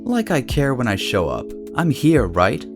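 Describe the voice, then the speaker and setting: A man's voice: medium pitch, huge pitch swings, unhurried. A man playing a wry, aloof young private investigator in a fan audio drama, with a sardonic manner. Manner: sardonic, dry-witted. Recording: long reverb, faint music.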